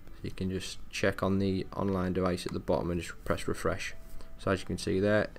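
Low, gravelly tech tutorial man. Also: level tone, fairly quick, slight background noise. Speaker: technical support presenter. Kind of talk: casual step-by-step software tutorial narration.

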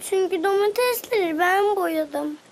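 A woman's voice: very high-pitched, a little slow, slight background noise. A woman voicing an eager, earnest, impatient little girl in a children's cartoon. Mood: upset.

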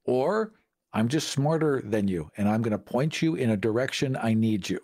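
Male co-host for gaming chat, average pitch, measured and analytical, conversational. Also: animated, darkish tone. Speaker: podcast co-host. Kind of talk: casual tabletop gaming discussion.

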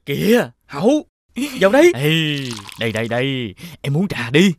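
An actor playing a greedy, boastful and suspicious rich elder brother in an animated fairy tale, with a condescending manner. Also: male voice, on the high side, measured pace. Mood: smug, expansive. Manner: condescending, expansive.

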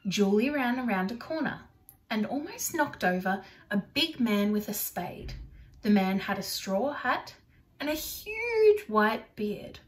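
A young adult woman reading a picture book aloud to children in an expressive storytelling style, recorded indoors on a device about arm's length away. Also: on the high side, takes it slowly. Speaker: storybook reader for primary children. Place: small furnished room indoors.

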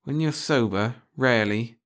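A tough woman agent, read by a man in an audiobook story. She is dry and sardonic, scolding briskly and unimpressed. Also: mid-range voice, fairly bright tone, natural voice.

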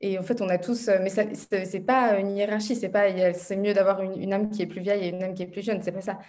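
A woman's voice: medium pitch, steady tone, fast talker, darkish tone. A young woman in her twenties, a student interviewer, talking in a chatty way over a video call from her room at home. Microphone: video call laptop mic.

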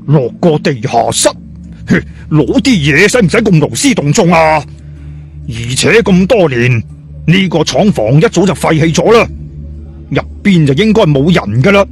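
A man doing a cocky, animated tough guy. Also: on the high side, moderate pace, soft background music. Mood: sceptical. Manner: boastful, sneering. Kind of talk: audiobook character dialogue.

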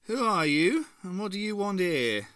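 A man's voice: sits high, very animated, normal speed, fairly bright tone, smooth, no echo, studio quality, voice pushed up. A man reading aloud and voicing a stout older woman who owns a cafe, cosy and motherly in phrasing but shrewd. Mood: placid menace.

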